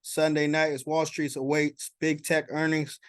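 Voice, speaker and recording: A man giving informal market commentary as a stock vlogger, explaining in a halting way and checking in as he goes. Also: a little high, little pitch movement, smooth, no echo, clean studio sound.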